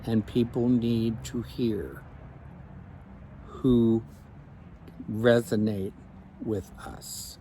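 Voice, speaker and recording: A man, a life coach, speaking slowly and reflectively in an evening vlog recorded outdoors on a phone. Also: on the low side, fairly even intonation, mellow, a little hiss or hum. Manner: slow, measured, reflective.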